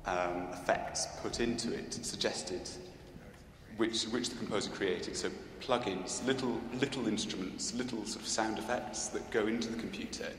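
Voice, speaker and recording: Low-voiced man in an echoing church. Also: fairly even intonation, normal speed, a bit bright. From Scotland. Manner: hesitant, thoughtful, many fillers and restarts. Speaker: guest cellist answering audience questions. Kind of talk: unscripted Q&A answers. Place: large church. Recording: echoey church with background noise.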